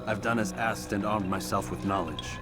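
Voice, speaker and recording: Male actor as cool, resolute killer in a game, low voice, clipped deadpan, loud music underneath. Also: moderate pace, lightly acted. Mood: confident. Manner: controlled, terse, deadpan. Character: cool, menacing male assassin, resolute and terse. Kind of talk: video game dramatic acting.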